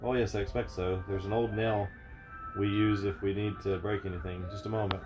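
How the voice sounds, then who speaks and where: A man voicing a gentle, calm, dreamy teenage girl in a storybook, softly and without hurry. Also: lowish voice, fairly quick, music in the background, natural voice.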